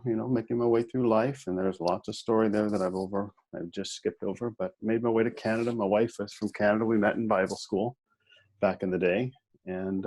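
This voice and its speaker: A man's voice: lowish voice, mellow, low-quality recording. A man in his fifties from the United States, a podcast guest telling his life story in a folksy, good-humored way. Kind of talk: conversational interview.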